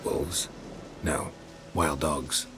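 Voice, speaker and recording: An actor playing a gruff, world-weary and terse monster hunter in a video game, speaking in a deadpan, gravelly murmur. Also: male voice, a bass voice, drawn-out words, music in the background, exaggerated voice. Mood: dry, terse. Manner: deadpan, terse, clipped sentences.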